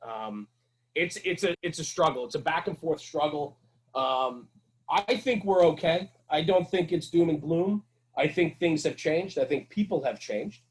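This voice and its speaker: A man's voice: on the high side, lively intonation, a little slow. A middle-aged man speaking as a podcast guest, earnest and emphatic, repeating his key points as he talks casually about his work. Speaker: guest, firefighter and fire-service radio host.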